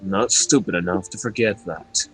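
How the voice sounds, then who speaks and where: A man's voice: low-pitched, normal speed, breathy edge, soft background music, pitched below the performer's own voice, low-quality recording. A man voicing a regal, nonchalant demon lord who is haughty, taunting and commanding, in a game fandub. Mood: mocking, teasing. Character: regal male demon lord, ageless, haughty, mocking, playfully menacing.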